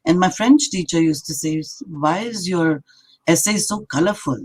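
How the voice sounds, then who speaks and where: Older Indian woman, thoughtful and halting. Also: lowish voice, a little mellow, slightly rough, rough recording. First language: Marathi and English. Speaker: interviewed poet. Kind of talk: reflective conversational storytelling.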